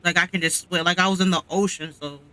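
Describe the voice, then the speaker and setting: A man's voice: high-pitched, fairly even intonation, quick pace, pushed and bright, clear, resonant tone, rough recording. A young man, a game streamer, chatting casually. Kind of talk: casual chat.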